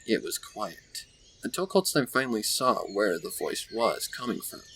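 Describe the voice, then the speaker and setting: Male story narrator, fairly low voice, deliberate dramatic phrasing, faint noise behind. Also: very animated, a bit bright, low-quality recording. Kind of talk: scripted fan fiction story narration. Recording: faint background noise with birdsong.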